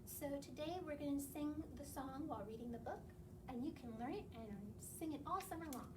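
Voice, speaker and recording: A woman with an extremely high voice hosts a children's story time. She reads and chants rhymes, adding playful questions for her young listeners. The microphone sits at a distance, and noise is clearly audible behind her. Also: fairly quick. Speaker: library story time host. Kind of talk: children's read-aloud with sing-along rhymes and asides to listeners.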